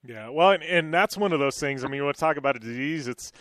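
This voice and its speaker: A man hosting a casual radio interview, his voice on the higher side. He asks long, rambling questions full of fillers. The studio sound is dry and close. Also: fast, fairly bright tone, no room sound. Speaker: radio show host.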